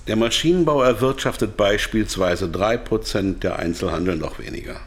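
An actor playing a captive pharmaceutical manager, hesitant and anxious to comply, in a German radio drama. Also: male voice, fairly low pitch, moderate pace, natural voice. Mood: explaining.

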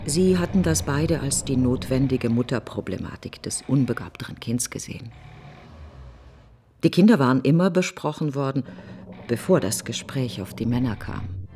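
A woman's voice: rumbling low, lively intonation, moderate pace, dark tone, slight background noise. An actress playing a middle-aged, bitter woman mourning her best friend in an intimate, brooding radio drama monologue. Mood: brooding recollection.

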